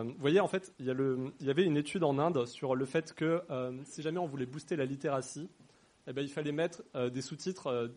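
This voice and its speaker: A man's voice: mid-range voice, a little mellow. A man speaking casually on a panel discussion. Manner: casual, conversational. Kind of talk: public discussion.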